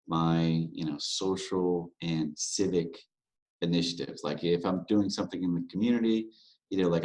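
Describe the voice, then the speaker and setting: A man in his thirties, a broker from Louisiana, answering interview questions in a relaxed, candid way with plenty of fillers. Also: low-pitched, low-quality recording. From Louisiana, USA. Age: 30s. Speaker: guest, real estate broker. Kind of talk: podcast interview.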